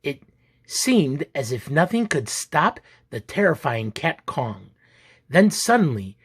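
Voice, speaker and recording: A man narrates a children's story in a husky voice. He takes his time, delivering it theatrically and with lively swings in pitch, as if every line belongs to an adventure. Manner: theatrical, deliberate. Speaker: storyteller reading a children's picture book aloud. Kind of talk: expressive dramatic read-aloud narration.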